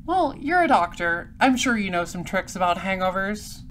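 An actress playing a sardonic, self-assured space detective and narrator, with a dry, businesslike delivery. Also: female voice, mid-range voice, big pitch swings, moderate pace, a bit bright, slight background noise. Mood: wry. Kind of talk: audio drama acting and first-person narration.

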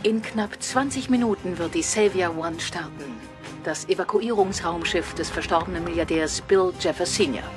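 A woman's voice: lowish voice, normal speed, music in the background, natural voice. A woman playing a serious news anchor reading breaking news in a radio drama. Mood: serious, urgent.

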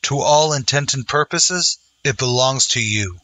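A man's voice: mid-range voice, measured pace, sharp tone, dry recording. A man playing an earnest, resolute lawman hero in an old-time western radio drama, speaking in solemn declarations.

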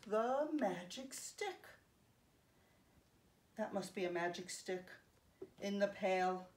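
Woman in her fifties, children's read-aloud, unhurried pace, lively sing-song intonation, simple explanatory asides, recorded in a living room. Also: medium pitch. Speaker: children's story time host. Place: home living room, indoors.

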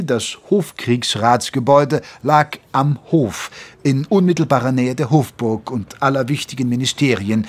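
A man playing a gentlemanly English doctor who narrates his memoir in a measured, literary way in an audio drama. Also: mid-range voice, husky.

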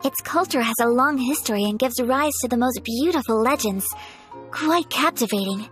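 A woman's voice: a little high, lightly acted. Woman voicing a graceful, polite young noblewoman. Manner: formal, gentle, measured. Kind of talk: video game voice acting. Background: game music.

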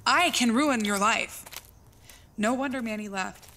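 A pushy young woman in an audio drama, played by an actress, blunt and suspicious of everyone. Her voice sits fairly high with plenty of fry in it, over faint noise. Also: measured pace, pushed and bright. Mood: angry, menacing. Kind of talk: audio drama dialogue, naturalistic.

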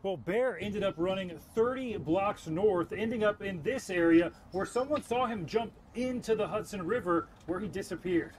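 A man delivering a scripted TV news report in a crisp reporter's manner. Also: high voice, moderate pace, few pauses, a crowd in the background. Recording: news clip played back, noisy.

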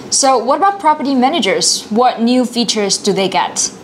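Woman, thirties, co-presenter, slightly high pitch, responsive conversational delivery, audible background noise. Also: lively intonation, moderate pace, low-quality recording. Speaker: co-presenter asking questions. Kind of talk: scripted product dialogue.

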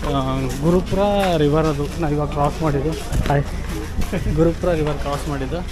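A man's voice: on the high side, steady tone, fairly quick. A man, vlogging a trip by camera at arm's length, chatting wryly to viewers. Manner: chatty, wry and grumbling, addresses viewers directly. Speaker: travel vlogger. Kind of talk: walking travel vlog, talking to camera. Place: outdoors on busy city streets, bus stand and riverside. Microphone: handheld action camera at arm's length. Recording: loud street traffic noise behind.

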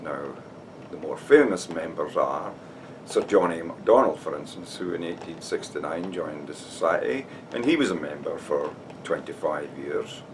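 An older man with a Scottish accent explains things plainly, pausing on fillers and "now". His voice is deep and gravelly. He sits back from the camera mic, with a faint hiss under his words. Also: recorded from a distance. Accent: Scottish, Dumfries. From Scotland. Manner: steady and explanatory, with frequent "uh" and "now". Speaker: society member giving a talk. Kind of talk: informal prepared talk to camera. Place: room with shelves and flags behind.

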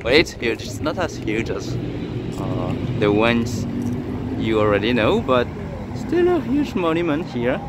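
A man's voice: mid-range voice, very animated, measured pace. Joking young Frenchman vlogging on the street. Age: young adult. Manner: chatty, joking, many fillers and restarts. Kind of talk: casual vlog chat. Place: city square outdoors. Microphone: phone at arm's length. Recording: outdoor city noise behind. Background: street noise.

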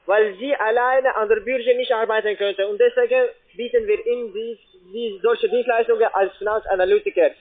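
A man with a foreign accent, not a native German speaker, making a halting, repetitive, insistent telephone sales pitch. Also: steady tone. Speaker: call-centre sales caller. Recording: muffled, narrow phone line.

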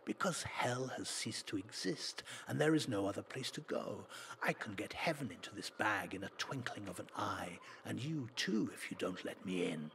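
A man's voice: lowish voice, expressive, normal speed, creaky, a bit bright, a little hiss or hum, low-quality recording. A man as a bold, demanding magician. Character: bold, challenging male magician in a folk tale.

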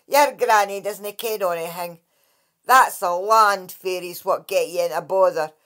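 A woman's voice: on the low side, unhurried, fairly bright tone. A woman voicing a tiny, ugly male water fairy with a Scottish accent, cheeky and scolding.

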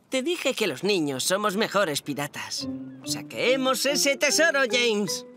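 A woman's voice: medium pitch, big pitch swings, slow, over music. A woman voicing a bossy, boastful little boy playing pirate in a children's cartoon dub. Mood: cocky. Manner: cocky, swaggering. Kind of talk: animated children's series dub.

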